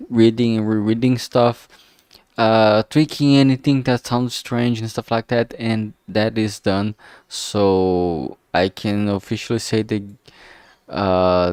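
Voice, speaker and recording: A man giving a casual, unscripted livestream update in a halting, rambling way, often trailing off mid-phrase. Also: fairly low pitch, darkish tone, clear, resonant tone, dry recording, studio quality. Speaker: streamer, visual novel maker.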